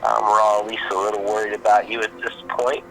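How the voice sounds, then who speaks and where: A man playing a worried friend leaving a rambling voicemail in a fiction podcast, halting and casual, with a gravelly voice. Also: fairly low pitch, little pitch movement, over music, poor audio. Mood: worried, gently pleading. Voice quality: gravelly, creaky.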